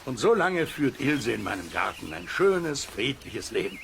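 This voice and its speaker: A man's voice: mid-range voice, very animated, unhurried, gravelly. A man playing a blustery, self-satisfied and easily alarmed neighbour in a German children's radio play, pompous and emphatic. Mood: smug, matter-of-fact. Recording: noisy cassette transfer of radio play.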